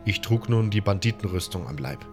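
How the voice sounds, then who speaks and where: Low-pitched male fantasy storyteller. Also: lively intonation, fairly quick, music in the background. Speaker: storyteller reading a game-based audio story. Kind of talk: scripted first-person fantasy narration.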